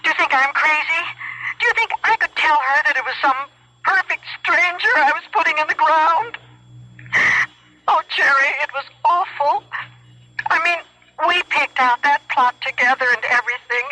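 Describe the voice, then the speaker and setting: An actress playing a nervous, frightened wife drawn into a scheme, speaking in an anxious, faltering way in a 1970s radio drama. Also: female voice, sits high, moderate pace, sharp tone, low-quality recording.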